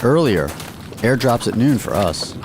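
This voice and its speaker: A man playing a loyal, dry-witted sergeant major in a radio drama, laconic and deadpan. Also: mid-range voice, measured pace, creaky, background noise. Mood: dry, businesslike. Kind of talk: post-apocalyptic radio drama acting.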